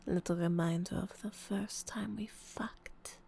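A woman whispering a teasing, breathy roleplay, slipping in French endearments and soft laughs. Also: lowish voice, steady tone, unhurried. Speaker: audio roleplay performer.

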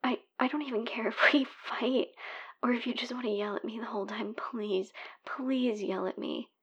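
A woman playing a heartbroken young woman who leaves a hushed, pleading voicemail for her ex, swearing in frustration. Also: fairly high pitch, fairly even intonation, normal speed, slightly rough, highs cut off. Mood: desperate pleading. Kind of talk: whispered audio roleplay voicemail.

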